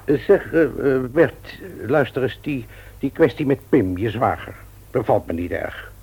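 An actor playing a fussy, paternal older uncle in a Dutch radio play, halting and repeating himself. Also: male voice, medium pitch, animated, a little mellow, slightly rough, heavily acted. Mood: stern, concerned. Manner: halting, muttering repetitions, paternal. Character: older uncle, fussy senior bookseller, sceptical mentor. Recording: radio drama recording.

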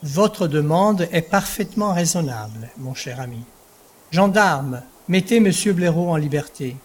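Husky, solemn judge acted by a man. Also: fairly high pitch, expressive, unhurried. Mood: solemn, assured. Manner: formal, pronouncing. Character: male court president, reasonable, solemnly absurd judge. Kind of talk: French audio drama acting.

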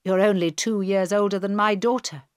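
A woman voicing a middle-aged male passenger and father, speaking low and measured. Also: fairly even intonation, clean tone, no room sound, studio quality, lightly acted. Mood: arguing.

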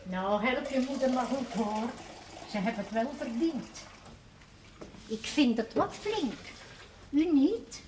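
Gossipy nosy village lady, highish voice, old tv drama, noisy sound. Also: lively intonation, takes it slowly, pauses often, darkish tone. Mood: approving. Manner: inquisitive, gossipy. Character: nosy, talkative, pious village woman customer. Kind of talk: naturalistic Dutch television period drama acting.

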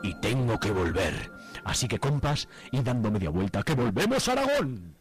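A man playing an excitable young activist from a comic radio soap, with an accent from Spain. His delivery swings widely and is colloquial, theatrical and proud, with light music underneath. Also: medium pitch, normal speed, natural voice. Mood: proud, resolute. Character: excitable young male left-wing activist, cheeky and proud.

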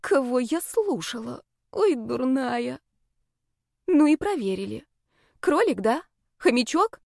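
Hot-headed young witch heroine read by a woman, sarcastic, raised pitch, dry fantasy audiobook. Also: big pitch swings, takes it slowly, creaky, no room sound, full character voice. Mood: self-reproach. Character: feisty, impulsive young female dark witch.

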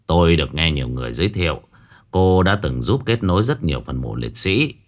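Male visitor, man narrator acting: deep, polite, measured, formal greeting; storybook audio. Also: sing-song. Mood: courteous. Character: polite soldier and his courteous uncle, male visitors.

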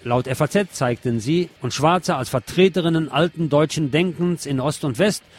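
Male radio presenter, scripted news reading, commentary, medium pitch, music underneath.

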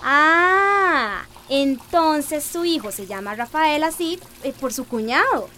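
An actress playing a curious, guileless young household helper in a radio drama, with a Central American accent. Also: female voice, high voice, animated, slow pace, bright, forceful tone, slight background noise, full character voice. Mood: curious, gossipy. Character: curious, chatty, guileless young woman helping in the kitchen.

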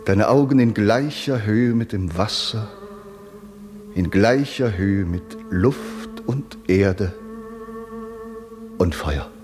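An entranced lover-poet in a radio play, very slow and fairly low. He chants his verse solemnly, with measured, incantatory phrasing and deliberate pauses, over music. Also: animated. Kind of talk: solemn poetry recitation in a radio drama.

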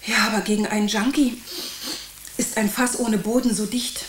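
A woman's voice: mid-range voice, fairly even intonation, normal speed, lightly acted. A woman playing a dramatic, evasive television actress under suspicion in a German radio drama, speaking in an emphatic, theatrical way. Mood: agitated. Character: dramatic, evasive TV actress suspected of blackmail and murder. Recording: noisy ambience behind the voice.

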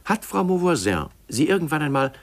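Pressing official interrogator, fast, swooping intonation, formal, vintage German radio courtroom style. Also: male voice, fairly high pitch, very animated. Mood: insistent. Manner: formal, leading questions. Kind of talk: 1950s German radio drama acting.

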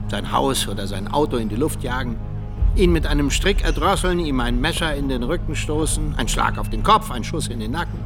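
An actor playing a cold, philosophical Soviet spy handler in a radio drama, deliberate and dryly menacing. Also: male voice, mid-range voice, close to an everyday voice.

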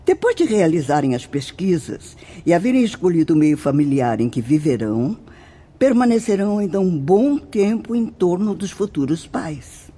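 A man's voice: high-pitched, sing-song, moderate pace, mellow, husky, heavily acted. An actor with a Brazilian accent playing a solemn, fatherly spirit mentor who lectures formally and emphatically in a radio drama. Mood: earnest, instructive. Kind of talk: radio drama acting, didactic.

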